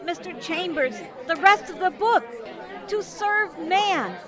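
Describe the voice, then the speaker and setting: A woman's voice: very high voice, lively intonation, takes it slowly, crowd noise, heavily acted. A woman in amateur radio theater playing an anxious codebreaker, urgent and pleading as she gives warning. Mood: desperate warning. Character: anxious female codebreaker desperately warning a man.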